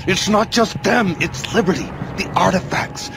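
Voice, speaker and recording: A man's voice: high voice, moderate pace, creaky, music in the background. An actor playing a tense, desperate male fugitive in a video game cutscene, giving urgent, clipped warnings. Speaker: game character.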